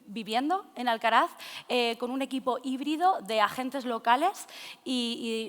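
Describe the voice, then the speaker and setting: A woman with an accent from Spain giving an informal conference talk in an echoey hall, conversational and halting, often adding tag questions. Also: fairly high pitch. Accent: Spanish from Spain. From Castilla-La Mancha, Spain. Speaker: speaker presenting a cultural project. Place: forum hall. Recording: echoey hall with long reverb.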